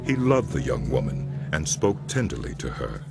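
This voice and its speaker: A very low male voice narrates scripture with solemn, measured storytelling. His intonation moves widely, and music plays clearly underneath. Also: wildly swooping pitch.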